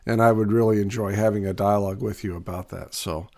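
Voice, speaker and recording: A man with a raspy, hoarse voice from a recent cold, teaching a conversational video lesson on Bible passages for business owners, with frequent fillers and restarts. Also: lowish voice, steady tone, fast, a little mellow.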